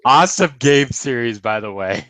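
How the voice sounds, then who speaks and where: A man's voice: medium pitch, animated, normal speed, background noise. An American man with a nasal, congested voice from a cold, chatting casually as a review show host. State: has a cold.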